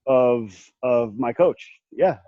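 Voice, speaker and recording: A man's voice: mid-range voice, takes it slowly, dry recording, phone-quality sound. A man in his late thirties, hosting a casual group chat, rambling with many restarts and laughing often. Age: 30s. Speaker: podcast host.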